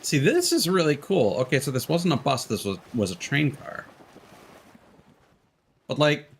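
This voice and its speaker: A man's voice: fairly high pitch, sing-song, a little slow, slight background noise. A man giving casual, informal commentary on a gaming stream, with some swearing. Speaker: live streamer.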